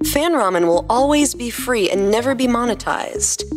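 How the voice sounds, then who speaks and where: A woman's voice: fairly high pitch, lively intonation, moderate pace. A woman host reading humorous fan fiction as scripted narration. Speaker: podcast host and story narrator. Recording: long reverb, music behind the voice.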